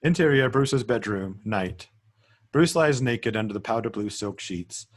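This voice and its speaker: A man reads out screenplay directions as the narrator of a table read. His voice is fairly low and rather husky. He goes through the scene descriptions in a measured, scripted manner. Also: lively intonation.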